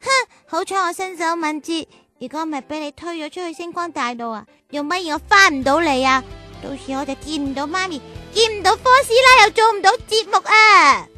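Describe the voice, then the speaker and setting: A woman playing a playful, excitable, bratty little girl in a radio drama. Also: very high voice, fairly quick, soft background music. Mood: boastful. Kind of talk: childlike radio drama acting.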